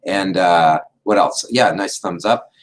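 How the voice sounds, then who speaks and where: Very low-voiced man, slightly hoarse. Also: slight background noise, low-quality recording. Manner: unhurried, explanatory, many fillers and restarts. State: losing his voice. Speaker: doctor hosting a diet support livestream. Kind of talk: casual livestream talk.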